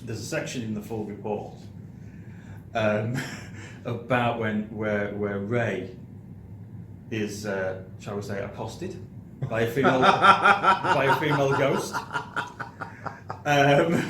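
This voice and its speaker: A British man hosting a film review show in casual chat, speaking haltingly and often repeating his words. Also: medium pitch, steady tone. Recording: roomy echo, clearly audible background noise.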